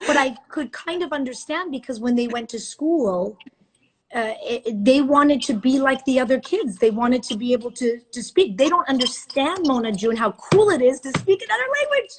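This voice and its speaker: Woman host on a live call, upbeat and animated, a bit high-pitched. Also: moderate pace, breathy edge, rough recording. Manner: enthusiastic, animated storyteller. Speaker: host, news anchor. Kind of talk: casual live-stream interview. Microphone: phone or video call.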